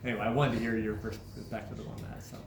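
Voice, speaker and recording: A man's voice: on the low side, level tone, fast talker, echoey room, background noise. A middle-aged male teacher talking with a student, reflective and repeating words as he thinks. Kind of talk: classroom discussion.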